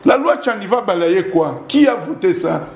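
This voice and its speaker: A man's voice: sits high, expressive, normal speed, creaky, reverberant. A man with a Congolese French accent arguing insistently as a guest in a political interview, repeating himself and pressing points with rhetorical questions. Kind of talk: heated political argument.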